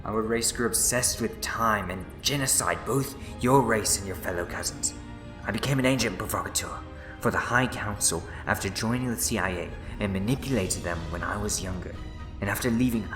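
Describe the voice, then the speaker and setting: A man playing a scheming, bitter renegade Time Lord in a sci-fi audio drama, speaking in theatrical, condescending, deliberate speeches. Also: on the low side, roomy sound, natural voice. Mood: grave, confiding.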